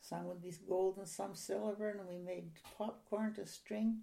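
An older woman from a Ukrainian-speaking home, reminiscing in an oral history interview in a soft, halting, rambling way. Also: mid-range voice, fairly even intonation, gravelly.

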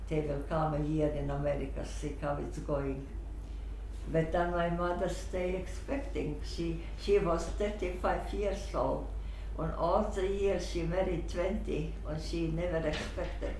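An older man whose first language is Russian, recalling his past in halting English with many restarts. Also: fairly high pitch, flat delivery, vocal fry, a little mellow. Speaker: interviewee. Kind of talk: oral history recollection. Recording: echoey room, faint background hum.

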